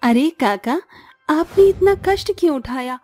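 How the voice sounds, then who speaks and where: A woman's voice: sits high, lively intonation, a little slow, warm tone, soft background music. A woman voicing a caring, principled young village animal doctor in a cartoon, earnest and firm. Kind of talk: cartoon story voice acting.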